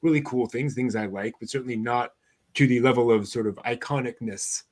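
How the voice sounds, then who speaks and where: A man hosting an anime news show, chatting casually through items with a somewhat halting delivery. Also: mid-range voice, a little mellow.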